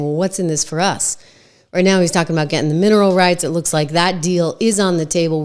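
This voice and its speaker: Hoarse woman giving emphatic political commentary. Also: low voice, darkish tone. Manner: emphatic, conversational, frequent "like" fillers. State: slightly hoarse from a cold. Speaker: political talk show host.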